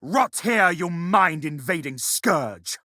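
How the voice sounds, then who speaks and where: An actor playing a fervent, unforgiving space marine in a video game. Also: male voice, on the high side, animated, takes it slowly, sharp tone, no echo, studio quality, exaggerated voice. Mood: righteous contempt. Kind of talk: video game combat voice lines.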